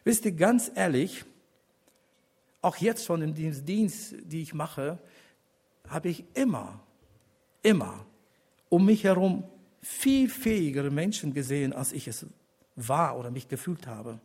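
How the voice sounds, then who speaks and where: An older man, a church elder, giving an earnest personal testimony as a sermon, speaking deliberately with pauses and rising to emphatic appeals to the congregation. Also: fairly high pitch, lively intonation, creaky, a little mellow, husky.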